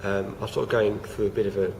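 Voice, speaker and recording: A young man talks haltingly, full of fillers and false starts. His low voice is gravelly and croaky from feeling unwell, and a steady hiss sits under the home recording. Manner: hesitant, many fillers and restarts. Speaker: vlogger. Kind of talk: casual talk to camera.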